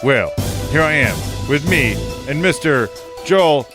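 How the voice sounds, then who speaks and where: A man's voice: a little high, lively intonation, takes it slowly, over music. A man hosting a podcast, introducing segments in a loose, joking, mumbly way. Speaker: podcast host.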